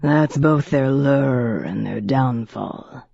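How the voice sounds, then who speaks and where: A narrator voicing an ancient male vampire master who speaks slowly, coldly and in a low hush. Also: gravelly, no room sound. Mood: false tenderness. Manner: slow, low, cold and softly whispered. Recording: dull dry audiobook recording.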